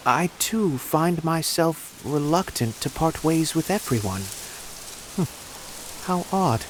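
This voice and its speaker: Measured, reserved game traveler. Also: male voice, on the high side, expressive, a little hiss or hum. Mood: pensive. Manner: formal, measured. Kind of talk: video game voice acting.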